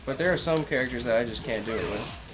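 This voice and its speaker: A man's voice: mid-range voice, fast talker, over music, poor audio. A young man with a cold-stuffed nasal voice, joking casually with a friend while playing a fighting game at home. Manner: playful, sings silly lines, yelps during fights. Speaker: gamer. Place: indoor room, on webcam.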